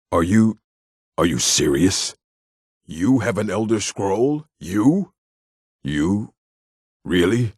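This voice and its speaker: Stern orc, game character: very low, husky, commanding delivery with wide swings in pitch. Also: male voice, a little mellow, no echo, studio quality, exaggerated voice. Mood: reverent, astonished. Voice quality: gravelly, husky. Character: gruff male orc, stern bookish keeper and warrior. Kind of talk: video game character voice acting.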